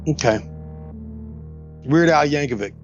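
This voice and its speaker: A man's voice: a little high, expressive, a little slow. A man playing a tabletop role-playing game, talking casually and improvising, on a dull lo-fi recording with music behind.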